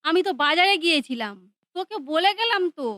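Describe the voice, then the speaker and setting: A woman's voice: very high voice, expressive, fairly quick, bright, forceful tone, dry recording, studio quality, heavily acted. A woman voicing a bossy, spiteful mother in a cartoon who scolds sharply. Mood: scolding.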